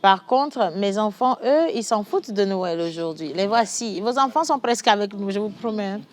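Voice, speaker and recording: A woman's voice: medium pitch, normal speed. A woman hosting a studio talk show in Togolese-accented French, chatting animatedly and reading viewer messages aloud. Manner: animated, laughing, shifts from chatty to soft.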